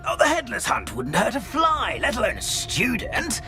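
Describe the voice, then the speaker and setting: Genial gentleman ghost, game role: flustered, florid, hugely animated, bright-toned. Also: very high-pitched, normal speed, creaky, faint music behind, full character voice. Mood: apologetic, eager. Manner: polite, flowery, hesitant with nervous stammers. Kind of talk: video game character acting.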